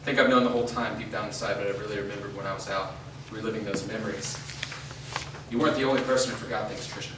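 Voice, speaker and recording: A man's voice: lowish voice, steady tone, very fast, lightly acted. A man playing a troubled, questioning grown son in a staged reading of a drama. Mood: insistent, troubled. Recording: echoey room, distant mic, background noise.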